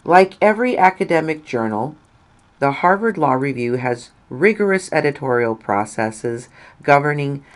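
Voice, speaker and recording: Female narrator for a read-aloud article: slow, measured delivery, deliberate pausing between phrases. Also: very deep voice. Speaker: reader of an article.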